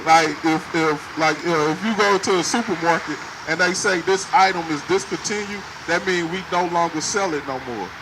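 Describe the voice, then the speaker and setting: Male street preacher, emphatic and confrontational, raised high voice, recorded on a noisy city street. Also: moderate pace, few pauses. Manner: loud, emphatic, questions passersby.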